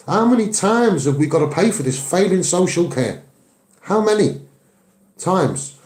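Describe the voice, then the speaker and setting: A Scouse man speaks as a campaigner in a roomy, echoing space. His voice sits a little high for a man but is soft-edged and mellow. He is earnest and emphatic, often repeating phrases to drive them home. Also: big pitch swings, measured pace. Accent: Liverpool (Scouse). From Liverpool, England. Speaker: activist and online video maker. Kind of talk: impassioned campaigning monologue to camera.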